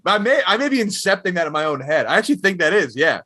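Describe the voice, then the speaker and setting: A man's voice: high voice, big pitch swings, fast talker, noisy background. A man hosting a casual podcast interview, chatty, asking long questions that restart and circle around.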